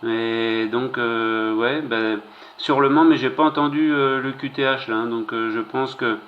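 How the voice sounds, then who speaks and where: A man's voice: medium pitch, steady tone, a little slow. A man chatting casually as an amateur operator over two-way radio, hesitant and piecing his sentences together. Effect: two-way radio transmission. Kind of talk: casual radio contact chat. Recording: dull lo-fi radio, faint noise.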